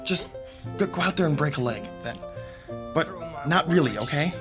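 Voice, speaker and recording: A man's voice: on the high side, expressive, moderate pace, over music, lightly acted. An actor playing a nervous, loyal young male student in a radio drama, hesitant with a joking edge.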